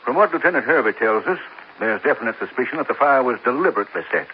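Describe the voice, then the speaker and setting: An actor playing a stuffy, haughty businessman in a 1950s radio drama, pompous, clipped and quick to take offense. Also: mid-range voice, animated, close to an everyday voice. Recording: dull old radio recording with faint hiss.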